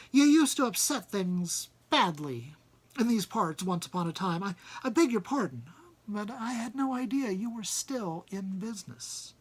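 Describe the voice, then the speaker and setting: A man voicing a fussy, excitable and polite little hobbit in a storybook, speaking in a prim, flustered, stammering way. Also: high voice, voice pushed up, heavily acted. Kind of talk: storybook character reading.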